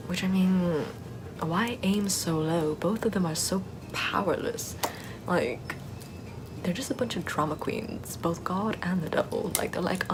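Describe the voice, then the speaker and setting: A woman playing a sardonic Puritan-era forest witch in a soft, rambling, mostly whispered ASMR roleplay. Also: mid-range voice, background noise, close to an everyday voice. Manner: rambling, conversational, repeats words softly.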